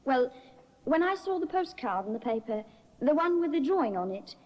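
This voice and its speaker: A woman's voice: high-pitched, very animated, normal speed, a little mellow, dull audio. An actress playing a nervous young woman witness giving hesitant, faltering answers in an old radio courtroom drama. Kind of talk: 1950s radio acting.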